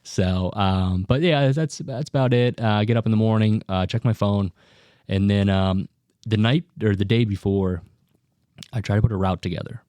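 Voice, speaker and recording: Young salesman guest, deep dark voice, relaxed joking talk, dry studio sound. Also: moderate pace, no room sound. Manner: joking, laughs often, many fillers. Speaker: equipment sales representative. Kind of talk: casual podcast chat.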